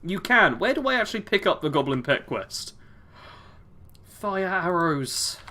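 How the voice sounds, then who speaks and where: A man's voice: high voice, very animated, unhurried, pushed and bright. A man with a British accent, streaming a video game and chatting casually with his chat, chuckling as he reads comments aloud.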